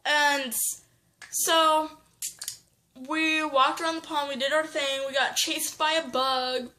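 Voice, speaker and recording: A woman telling a story in casual chat, rambling with fillers and restarts and getting animated. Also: sits high. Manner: animated, breaks into sound effects.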